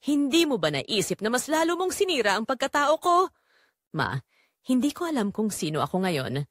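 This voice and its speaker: A woman's voice: a little high, very animated, moderate pace, no echo, clean studio sound. A woman voicing a betrayed, anguished son in an audio drama, speaking in a wounded, accusing way. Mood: angry hurt. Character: betrayed, anguished son questioning his identity.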